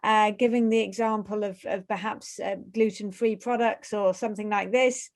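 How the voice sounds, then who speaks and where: Brief: woman webinar moderator, mid-pitched, reads audience questions hesitantly. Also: steady tone, moderate pace. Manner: hesitant, with many fillers.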